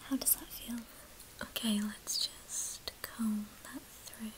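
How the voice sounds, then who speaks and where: A woman in her twenties doing an ASMR hairdresser roleplay in a soothing, breathy whisper, speaking gently and attentively to the listener. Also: fairly high pitch, steady tone, slow, rough recording. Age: 20s. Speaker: ASMR creator playing a hairdresser.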